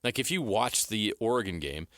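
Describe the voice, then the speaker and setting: Male sports co-host, bright forceful voice, medium pitch, blunt opinions, dry studio sound. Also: lively intonation, normal speed, dry recording. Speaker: sports radio show co-host. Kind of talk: casual sports debate.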